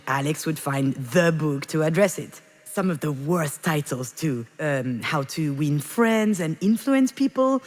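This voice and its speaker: An actress playing a reflective, wounded woman confiding her memories in measured, intimate visual novel narration. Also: lowish voice. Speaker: video game character narrator. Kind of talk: introspective scripted narration, visual novel voice acting.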